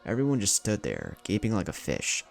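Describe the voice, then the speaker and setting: A man with a low, lively voice narrates a dramatic story. His delivery swings in pitch while keeping a gravelly edge, with music underneath. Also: fairly quick. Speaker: fan fiction narrator.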